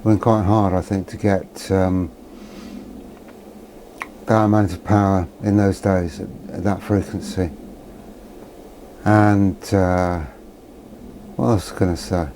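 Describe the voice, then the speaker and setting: A man's voice: sits low, flat delivery, slow, long pauses, warm tone, a little hiss or hum, rough recording. An older British man giving rambling, unscripted commentary in a vlog, with a dry, grumbling, sardonic manner. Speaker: presenter of a vintage radio video.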